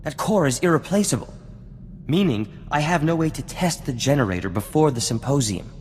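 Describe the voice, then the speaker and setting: An actor playing a vain, refined scientist-inventor in a video game cutscene, speaking in a formal, theatrical and florid way. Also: male voice, a little high, lively intonation, fairly quick, slight background noise, close to an everyday voice. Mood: anxious. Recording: long reverb, music underneath.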